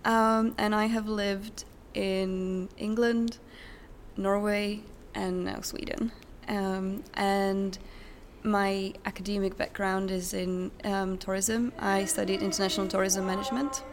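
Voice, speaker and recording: A woman's voice: medium pitch, little pitch movement, slow. Young woman, hesitant, faint venue noise. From Czech Republic. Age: young adult. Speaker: interview guest, recent graduate. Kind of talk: conversational interview answers. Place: conference center. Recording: background noise at conference venue. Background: ambient noise, distant sound check.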